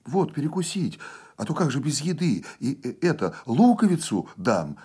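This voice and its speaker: A man voicing an anxious, tearful peasant wife in a flustered, halting way. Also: medium pitch, sing-song, a little mellow, husky, voice pushed up. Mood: anxious, fussing. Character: anxious, tearful peasant wife fussing over her husband.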